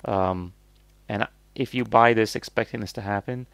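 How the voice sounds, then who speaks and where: A man's voice: lowish voice, fairly even intonation, normal speed, fairly bright tone, smooth, no echo, soft background music. A man giving casual, off-the-cuff commentary as a review host, hesitant and thinking aloud as he hedges his points. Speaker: host reviewing crowdfunding projects.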